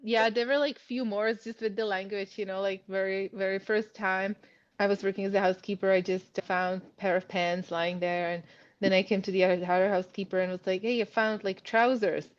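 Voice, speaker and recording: A woman's voice: mid-range voice, steady tone, brisk. Czech-accented woman, chatty, lo-fi sound. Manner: chatty, laughs often, many "like" and "you know" fillers. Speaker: guest. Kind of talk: casual interview.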